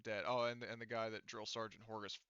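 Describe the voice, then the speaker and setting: Bright, clear-voiced man as game master. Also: fairly low pitch, little pitch movement, fairly quick. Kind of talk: running a tabletop roleplaying session. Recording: slightly dull, dry close recording.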